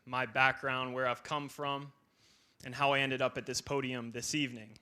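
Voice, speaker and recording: Earnest young man giving a bright speech. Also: mid-range voice, level tone, normal speed. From northeastern Ohio. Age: young adult. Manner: earnest, formal thanks. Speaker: student athlete. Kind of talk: prepared banquet speech.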